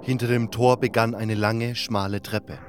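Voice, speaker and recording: A man's voice: fairly low pitch, fairly even intonation, normal speed. A man narrating a fantasy audio drama from a script as a first-person storyteller. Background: prominent music.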